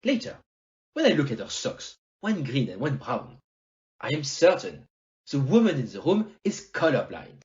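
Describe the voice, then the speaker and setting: An amateur performer's take on a famous fictional detective for an audio play, shrewd and courtly. The delivery is theatrical and extremely animated, dotted with French phrases and questioning tags. The sound is slightly dull and lo-fi. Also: medium pitch, moderate pace. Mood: explaining, self-assured.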